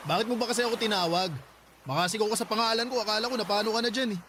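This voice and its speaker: A lazy Filipino husband played by a man talks back quickly in a petulant, defensive whine. He sounds dismissive, in radio drama style, with noisy ambience around him. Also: high-pitched, steady tone, close to an everyday voice. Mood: annoyed. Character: lazy, defensive, whiny male live-in partner.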